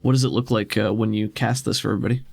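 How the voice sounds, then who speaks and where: A man's voice: on the low side, fairly even intonation, racing, vocal fry, a little mellow, no room sound, studio quality, faint music behind. A man running and narrating a tabletop role-playing game as game master.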